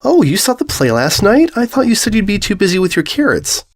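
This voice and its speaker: A man's voice: high-pitched, big pitch swings, brisk, pitched above the performer's own voice, full character voice. Man voicing a breezy, oblivious stallion pony. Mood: casual.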